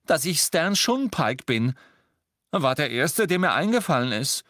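Male reader as a teenage boy, raised pitch, lively intonation, defensive, loud, earnest, agitated, audiobook character. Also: unhurried, no room sound, studio quality. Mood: explaining.

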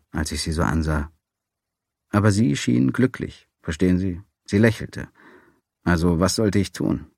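A man's voice: sits low, gravelly, no room sound. A man reading a first-person memoir as an audiobook, with dry, wry storytelling. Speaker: audiobook narrator.

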